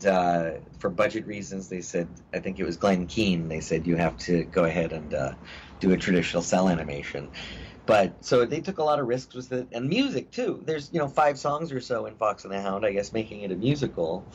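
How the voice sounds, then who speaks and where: A middle-aged actor talking in a casual interview, rambling with many fillers and restarts. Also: male voice, deep voice, brisk. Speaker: actor guest. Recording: dull lo-fi audio with faint hiss.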